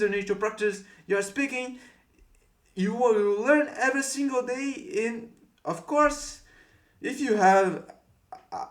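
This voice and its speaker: A Brazilian man speaks English with a Brazilian Portuguese accent in a rather high voice, giving earnest coaching to the camera. He keeps repeating his encouraging points, and his voice rings in a roomy, echoey space. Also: a bit bright. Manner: earnest, repetitive coaching phrases. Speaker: English tutor. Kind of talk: motivational talk to camera.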